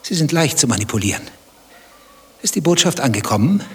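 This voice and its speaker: A man's voice: medium pitch, big pitch swings, brisk, breathy edge, faint music behind. An actor playing a nosy, stubborn male stranger in a satirical German radio play, dry, probing and provocative. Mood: mocking.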